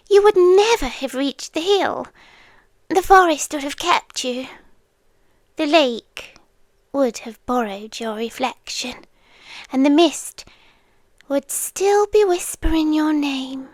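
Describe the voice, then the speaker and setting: Woman voicing an ancient female monster, British accent, possessive and teasing, whispery ASMR roleplay, very drawn-out delivery, close dry sound. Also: high-pitched, no echo, heavily acted. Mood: reassuring, teasing menace. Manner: hushed, deliberate, often slipping into whispers, teasing. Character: ancient female monster, possessive, watchful, tenderly menacing lover. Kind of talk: ASMR roleplay, intimate slow dramatic monologue. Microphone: close, dry studio-style microphone.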